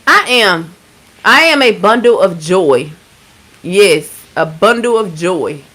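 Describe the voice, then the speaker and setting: A woman in her thirties from Georgia, hosting a casual livestream and chatting with viewers. She is laid-back, swears freely and keeps giving shout-outs. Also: medium pitch, expressive, slow pace. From Georgia, USA. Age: 30s. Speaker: livestream host.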